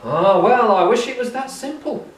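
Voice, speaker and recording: A man voicing a cold, menacing captain of the royal guard, speaking in a calm, matter-of-fact, threatening way. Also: very high voice, normal speed, roomy sound, distant mic, pitched above the performer's own voice, rough recording.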